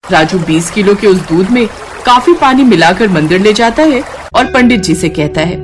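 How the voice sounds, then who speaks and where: A woman narrating a scripted devotional moral story in an expressive storytelling style, with music behind her. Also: medium pitch, normal speed.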